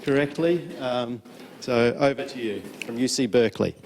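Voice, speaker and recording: A man's voice: medium pitch, moderate pace, darkish tone, slight background noise. A man chairing a conference session, introducing the next speaker and apologizing for his pronunciation. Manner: apologetic, stumbling over a name.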